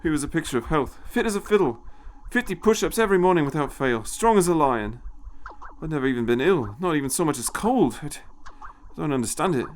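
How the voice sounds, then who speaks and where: A man's voice: a little high, expressive, a little fast, a little hiss or hum, voice pushed up, lightly acted. A man voicing a shaken, anxious and defeated personal assistant in an audio story, fretful and gloomy. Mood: shocked.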